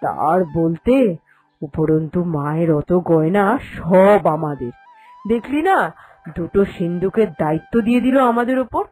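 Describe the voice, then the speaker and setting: A woman's voice: fairly low pitch, animated, measured pace, warm tone. A woman voicing a crafty, greedy elder daughter-in-law in a cartoon, bossy and sly in her delivery. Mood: smug scheming. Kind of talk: cartoon storytelling dialogue. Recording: voice over background music.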